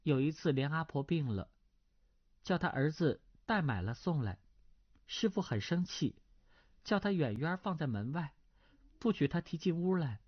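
A man's voice: on the high side, sing-song, normal speed, pauses often, slightly rough. A man voicing a naive, sheltered young woman who asks blunt, artless questions, as he reads a martial arts novel aloud. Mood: innocent curious. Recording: slightly dull lo-fi recording.

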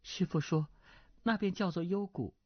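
A man's voice: high-pitched, normal speed, pitched above the performer's own voice. A man voicing a naive, sheltered young woman who asks blunt, artless questions, as he reads a martial arts novel aloud. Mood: innocent curious. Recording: slightly dull lo-fi recording.

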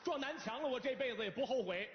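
An actor playing a stubborn, earnest young son who pleads emphatically in a comic sketch, with a northern Chinese Mandarin accent. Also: male voice, very high voice, animated, fast talker. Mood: earnest, determined. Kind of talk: theatrical comic sketch acting. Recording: echoey hall, dull sound, clear noise.

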